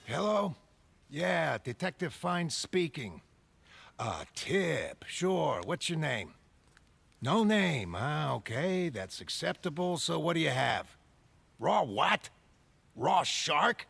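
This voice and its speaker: A man acting a businesslike, weary police detective with a dry, brisk, colloquial manner. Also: fairly high pitch, big pitch swings, creaky, slightly rough, exaggerated voice. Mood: businesslike, puzzled. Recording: loud music underneath.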